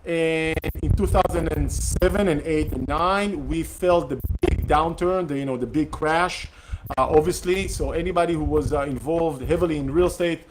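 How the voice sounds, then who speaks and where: A middle-aged man whose first language is Hebrew, hosting a casual live question-and-answer session, talking haltingly with many fillers and restarts. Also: on the high side, slight background noise, rough recording. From Israel. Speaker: real estate investor hosting a Q&A session. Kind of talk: casual live-streamed talk.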